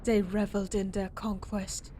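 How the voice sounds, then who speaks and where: A somber, defiant ancient warrior woman played by a voice actress tells her tale in a dramatic audio roleplay. Her mid-pitched voice is grave and deliberate, leaving weighty pauses. Clearly audible ambient noise runs behind her. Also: little pitch movement, lightly acted. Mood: somber, measured. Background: ambient sound effects.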